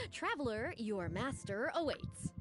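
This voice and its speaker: A woman's voice: high voice, huge pitch swings, slow, heavily acted. A woman voice acting a flustered, pleading opera troupe woman in a video game, theatrical and exclamatory. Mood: eager coaxing. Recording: game audio over background music.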